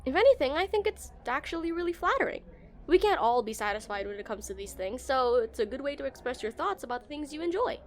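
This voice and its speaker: A woman's voice: high-pitched, very animated, fast. A woman playing an awkward, shy female game developer on a dinner date in a romantic audio roleplay, flustered and hesitant. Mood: gentle, curious. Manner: flustered, hesitant, stammering, giggly.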